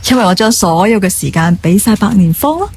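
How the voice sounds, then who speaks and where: A woman's voice: medium pitch, fast talker, darkish tone, slight background noise, close to an everyday voice. A woman acting a devoted assistant in her twenties in a radio drama, speaking sincerely and soothingly. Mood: calm, reassuring. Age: 20s. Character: caring young female assistant, thoughtful, devoted, earnest. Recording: background music under the voice.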